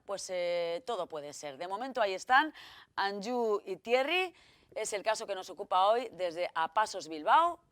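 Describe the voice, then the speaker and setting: A woman's voice: mid-range voice, a bit bright, no room sound. A woman hosting a regional TV magazine, chatting through an interview with lots of fillers and self-corrections. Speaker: TV show host.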